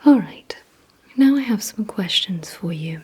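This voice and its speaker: A woman whispering breathily in an ASMR vampire roleplay, speaking deliberately to the listener as a gently instructing host. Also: medium pitch, animated. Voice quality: breathy, mostly unvoiced whisper.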